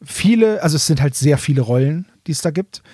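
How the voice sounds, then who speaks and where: A man with a fairly high-pitched voice chats as a podcast host, recorded close and dry. He explains things colloquially and with animation, like a fan talking to fellow fans. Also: animated, brisk, dark tone, breathy edge, dry recording. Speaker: podcast host presenting audio dramas. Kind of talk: casual fan chat.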